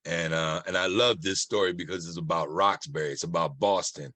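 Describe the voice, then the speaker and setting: American guy actor chiming in at a q&a, lowish voice, real dry sound. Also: a little fast, pushed and bright, no echo. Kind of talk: informal praise and comment at a Q&A.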